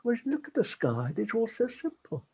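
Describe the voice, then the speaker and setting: An older Englishman, speaking in a gentle, unhurried way as an interview guest and laughing softly between thoughts. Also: very high-pitched, huge pitch swings, husky. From England. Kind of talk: reflective interview talk.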